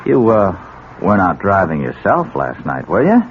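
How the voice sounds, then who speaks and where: An actor playing a wily police detective sergeant in a 1970s radio drama, halting and casual as he feigns bumbling. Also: low-pitched, huge pitch swings, phone-quality sound, exaggerated voice. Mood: slyly probing. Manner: halting, casual, wheedling, full of uhs. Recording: noisy, band-limited old radio recording.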